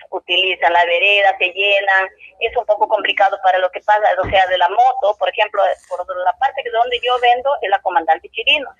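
A woman's voice: a little high, a bit bright, dry recording. A woman shop owner with a Peruvian Spanish accent, speaking in a rambling, indignant way in a street interview. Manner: rambling, indignant, with many restarts. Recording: dull dry lo-fi sound.

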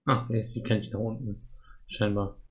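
A man's voice: on the low side, flat delivery, normal speed, dull audio. A man talks through a game he is playing. His delivery is casual let's-play chat, as on a stream.

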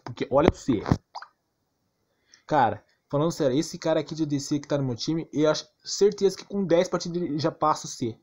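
A man's voice: on the high side, a little mellow. A man with a Brazilian accent giving informal game commentary, arguing insistently and repeating himself with plenty of slang. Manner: argumentative, insistent, repetitive, slangy. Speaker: gaming YouTuber.

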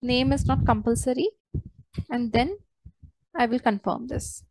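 A woman walking through a software tutorial step by step as a trainer, in a hesitant, halting way. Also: a little high, low-quality recording. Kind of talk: screen-share software tutorial, unscripted walkthrough.

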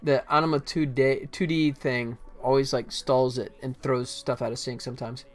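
A man's voice: medium pitch, fairly even intonation, normal speed. A man in his forties, a game developer, casually narrating his work on a livestream, often muttering to himself. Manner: muttering to himself in short bits. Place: small indoor room at a computer. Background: faint music, keyboard typing.

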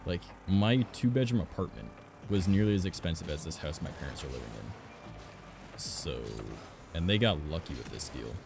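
A young man from Canada with a raspy voice, chatting casually and rambling as a streamer, filling his talk with "like" and "um". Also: low-pitched, animated, moderate pace, music in the background. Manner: rambling, frequent "like" and "um". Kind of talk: casual chat while gaming. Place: home recording studio.

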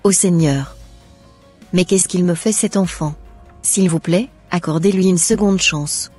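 A woman voicing a stern, exasperated mother in a cartoon, with a scolding, deliberate way of speaking. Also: lowish voice, dark tone, husky, soft background music. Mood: worried. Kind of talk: scripted cartoon dialogue.

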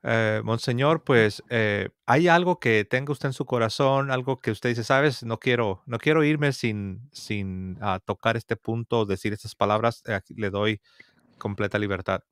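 A man's voice: fairly low pitch, a little slow, fairly bright tone, clean tone, no echo, clean studio sound. A man in his forties hosting a casual interview, deferential and given to repeating his phrases. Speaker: host, interviewer. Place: home studio, indoors. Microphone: close desk microphone with headphones.

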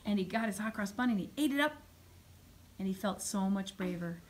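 A woman music teacher narrating a puppet show for young children in an expressive storytelling manner, recorded on a phone in a classroom. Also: medium pitch, brisk. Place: medium-sized school music classroom. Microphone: phone camera a few metres away. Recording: noisy classroom phone video.

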